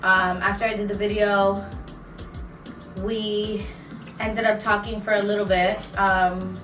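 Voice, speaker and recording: A woman in her twenties chats her way through a couple's Q&A, rambling with lots of "um" and "like." Her mid-pitched voice sits at a distance from the mic. The room echoes, and music plays underneath. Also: level tone, slow pace. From New York and Florida, USA. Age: 20s. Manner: chatty, rambling with many fillers. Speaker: vlog co-host. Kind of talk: casual Q&A chat.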